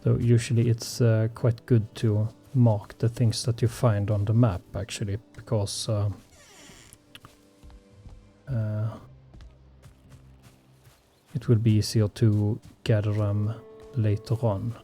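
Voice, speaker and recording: A man's voice: fairly low pitch, little pitch movement, warm tone, faint music behind. A man whose first language is Swedish, commenting on his survival gameplay in a hesitant way full of ums and uhs. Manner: slow, hesitant, many fillers. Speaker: gaming channel host.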